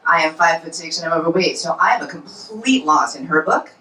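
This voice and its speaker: A woman in her forties tells punchy, self-deprecating stories on a comedy club stage. Her voice is bright and full of swings in pitch, picked up from a distance, with the room ringing around it. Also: animated, fairly quick, slight background noise. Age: 40s. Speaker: stand-up comedian. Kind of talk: stand-up comedy set. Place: comedy club in New York. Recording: roomy club sound, from far off.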